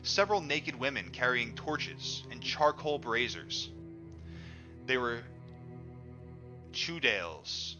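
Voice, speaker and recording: A man reading a book aloud as a storyteller, in an animated way that acts out the dialogue. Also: medium pitch, slow, pauses often. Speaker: audiobook reader. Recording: dull lo-fi audio, music underneath. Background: music.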